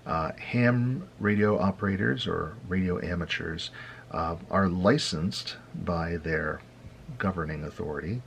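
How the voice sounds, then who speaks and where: A low-pitched American man presents an informal explainer in a conversational, slightly halting style. His delivery has a wry edge. Some background noise sits faintly behind the deep voice. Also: gravelly, a bit bright. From United States. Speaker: host of a hobby radio tutorial.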